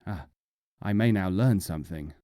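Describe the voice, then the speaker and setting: Pompous scholarly seeker voiced by a man, mellow tone. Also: low-pitched, moderate pace, no room sound, studio quality, close to an everyday voice. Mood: eager. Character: earnest, self-important scholarly seeker of wisdom.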